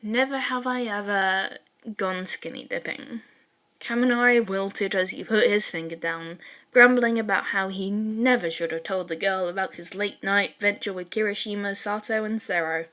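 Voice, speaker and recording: A woman narrating fan fiction as an expressive storytelling reader. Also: medium pitch, normal speed.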